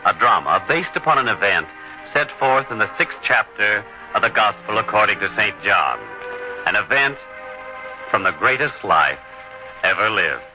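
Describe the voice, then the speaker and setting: A man narrating in a solemn old-time radio style, grand and deliberate, with dramatic pauses between phrases. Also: on the low side, very animated. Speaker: narrator, announcer. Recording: dull, lo-fi radio recording. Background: music.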